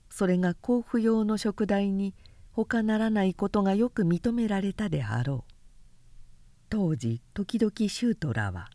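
A woman narrating literary fiction aloud as an audiobook, with measured, deliberate phrasing and pauses. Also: mid-range voice, mellow, dry recording. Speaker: narrator reading a novel aloud.

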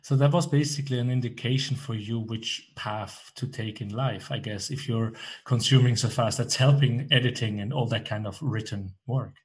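A man's voice: mid-range voice, moderate pace, few pauses. A man hosting a podcast, asking questions in a loose, searching way that often restarts mid-sentence. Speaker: podcast host. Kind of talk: casual interview chat.

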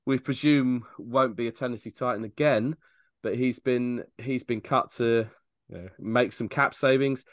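A man hosting a casual sports podcast, chatty and quick to laugh as he steers the conversation. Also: medium pitch, no echo, clean studio sound. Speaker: podcast host.

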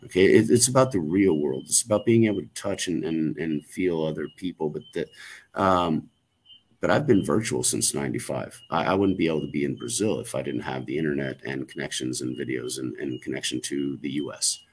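Man, very low voice, rambling podcast talk, lots of ums, swears casually. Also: fairly quick, husky, poor audio. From United States. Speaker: podcast co-host.

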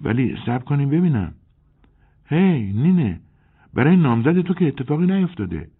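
A man voicing a chatty, excitable young woman in an audiobook, with a husky tone on tinny phone-like audio. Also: medium pitch, pitched above the performer's own voice. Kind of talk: audiobook character voice.

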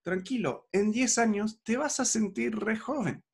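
A man's voice: high voice, measured pace, vocal fry, husky. A man, coaching in a casual chat, upbeat and peppered with fillers. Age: 40s.

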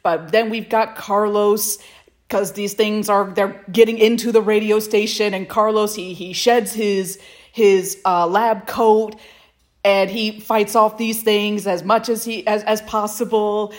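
A woman co-hosting a podcast in casual chat, telling things in a halting, rambling, playful way. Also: medium pitch, fairly even intonation. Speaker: podcast co-host. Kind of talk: casual chat about fan fiction recordings.

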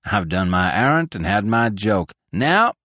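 A big young country lad, as a male reader plays him, cocky and teasing in old-fashioned rustic talk. His storybook voice bounces widely in pitch. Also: big pitch swings, a little slow, pushed and bright, no echo, studio quality, exaggerated voice. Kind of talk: storybook character voice.

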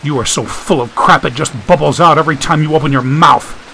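A man's voice: on the high side, brisk, gravelly, bright, forceful tone, slight background noise. A man voicing an irritable, cynical, foul-mouthed man who speaks bluntly and snappishly. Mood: irritated.

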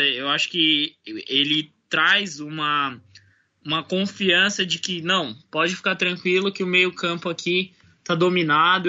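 A man with a high, very bright voice weighs in on a casual panel. He speaks thoughtfully, stopping and restarting his sentences. The audio sounds dull and lo-fi, as if from a remote recording. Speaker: podcast panelist. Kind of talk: casual sports discussion.

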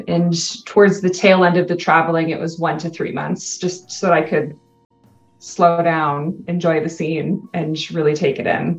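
A woman's voice: low voice, roomy sound, low-quality recording. A Canadian woman in her thirties, a guest in an interview, talking casually and laughing often. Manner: upbeat, laughs often, chatty.

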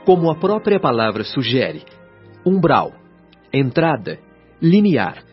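An actor with a Brazilian accent playing a patient, learned spirit guide in a radio drama, speaking formally and instructively. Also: male voice, on the high side, very animated, slow, husky, exaggerated voice. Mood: solemn, explanatory. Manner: solemn, articulate, instructive, formal diction. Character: male spirit guide Lísias, patient, earnest, learned teacher. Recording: dull lo-fi sound, faint music behind.

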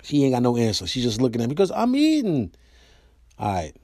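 A man's voice: medium pitch, a little fast, no room sound. American guy hosting his podcast, loose and chatty, acts out the voices, mic right up close. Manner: animated storyteller, acts out quoted voices. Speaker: podcast host and comedian. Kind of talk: casual storytelling monologue.

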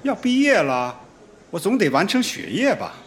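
An actor playing a young male student in a radio drama, an exasperated but good-natured son with an expressive, teasing delivery. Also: high voice, normal speed, slight background noise, exaggerated voice. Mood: teasing, reassuring. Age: young adult. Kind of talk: Chinese radio drama dialogue.

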